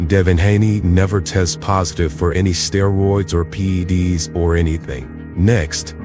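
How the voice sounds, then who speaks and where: A man recounting a boxing drug-testing timeline step by step, in a steady manner. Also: deep voice, unhurried, music in the background. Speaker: boxing commentator. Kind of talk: reading out a timeline.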